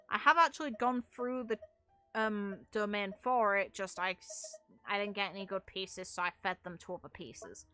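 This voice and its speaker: A woman gives casual gaming commentary in a frank, rambling manner, swearing freely. Her voice is bright and forward, recorded dry and close. Music plays behind her as she talks like a streamer to her viewers. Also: mid-range voice, no echo. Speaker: game streamer.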